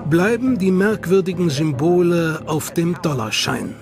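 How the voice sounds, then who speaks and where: Man, documentary narrator, scripted narration, measured dramatic delivery, high voice. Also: creaky. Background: music.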